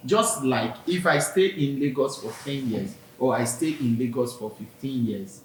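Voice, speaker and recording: A man's voice: moderate pace, a little mellow. A Nigerian man on a podcast, earnestly explaining his view with personal examples. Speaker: podcast co-host. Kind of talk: casual discussion. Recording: very echoey room, faint rumble.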